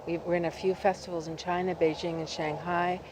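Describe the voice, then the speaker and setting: A woman's voice: on the low side, flat delivery, fairly quick, noisy background. A woman producer, speaking upbeat in an interview and reeling off figures. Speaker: film producer. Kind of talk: interview at a film market.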